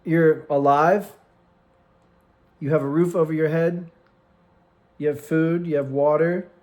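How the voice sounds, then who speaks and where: An American man in his late twenties speaks in a slightly raised register, close to a microphone in his own room. His talk is earnest and meandering, full of restarts and trailing pauses, like an unscripted vlog. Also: fairly high pitch, fairly even intonation, a little mellow. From United States. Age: 20s. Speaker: vlogger and streamer. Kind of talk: casual reflective vlog. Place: room at home with lights, plants and a cat on his lap. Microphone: studio microphone.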